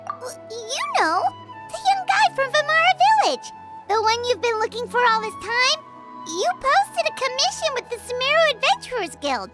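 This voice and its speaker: Super high squeaky little floaty girl, curious, bouncy voice, game music under it. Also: sing-song, normal speed. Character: small floating girl companion, chirpy and curious. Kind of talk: video game voice acting.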